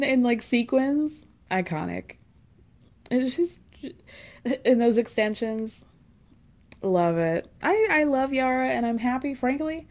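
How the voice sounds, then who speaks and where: A woman's voice: medium pitch, a little mellow. A woman hosting a podcast, giving a casual, gossipy recap of a show with frequent laughs and filler words.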